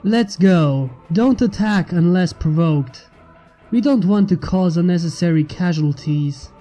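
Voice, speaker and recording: Male reader as cool-headed storybook inventor leader, dark relaxed tone, formal and unbothered, music underneath. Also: sits high. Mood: calm, firm. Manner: nonchalant, formal, measured. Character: calm, nonchalant, coldly logical fantasy inventor leader.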